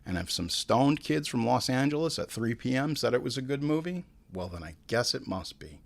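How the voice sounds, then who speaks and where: A man's voice: mid-range voice, very animated, normal speed, creaky. A man hosting a casual, comedic review show, talking in an emphatic, exasperated, slangy and profane way. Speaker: host of a movie review show.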